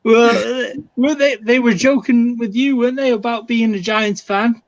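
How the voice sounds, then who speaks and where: A man who grew up in Wales, calling in by phone to a fan stream, chatting in a friendly, halting way and repeating his words. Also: very high-pitched, steady tone, no room sound, dull audio. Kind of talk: casual chat.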